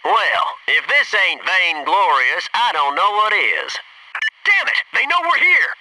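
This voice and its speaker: An actor playing an alert, loyal Navy SEAL squad soldier in a military shooter game, giving clipped reports and dry remarks. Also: male voice, high voice, wildly swooping pitch, slow pace, far from the mic, background noise, exaggerated voice. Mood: wry, then urgent alarm.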